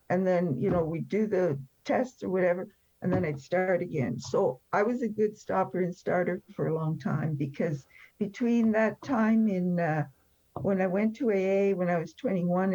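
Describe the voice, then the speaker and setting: A woman's voice: lowish voice, darkish tone. A woman in her seventies telling personal stories as an interview guest, conversationally, in a candid, wry and emphatic way. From born in France. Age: 70s. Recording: dull, lo-fi sound.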